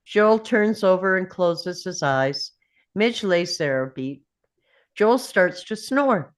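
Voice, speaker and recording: Slow, low-voiced woman reading stage directions. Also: animated. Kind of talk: scripted narration, present-tense screenplay directions.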